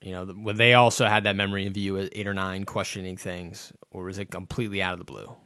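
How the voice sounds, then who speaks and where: Male interviewer, low-pitched, warm-hearted questioner on a dry recording. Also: little pitch movement, fast, dry recording. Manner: empathetic, thinks aloud, many "right?" tags. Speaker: interviewer host. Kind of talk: conversational interview.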